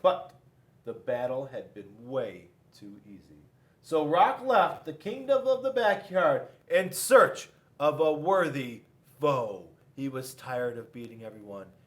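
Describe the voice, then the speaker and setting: A man reading a children's storybook aloud in an animated way, with dramatic pauses and sudden emphasis. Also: medium pitch. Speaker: police school resource officer reading to children. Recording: echoey room.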